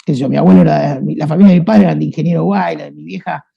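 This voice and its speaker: A man with a Rioplatense accent talks in a loose, rambling way, full of restarts and fillers. His voice is heavily gravelly and husky. The sound is dull and lo-fi. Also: fairly high pitch, rapid-fire. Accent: Rioplatense Spanish. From Buenos Aires, Argentina. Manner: rambling, many restarts and fillers, frequent "¿viste?". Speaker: guest writer. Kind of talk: casual conversational interview.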